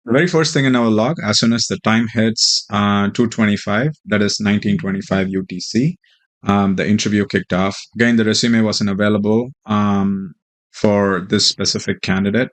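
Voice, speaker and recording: Man, lowish voice, informal tutorial, software demo presenter, hesitant halting delivery. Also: steady tone.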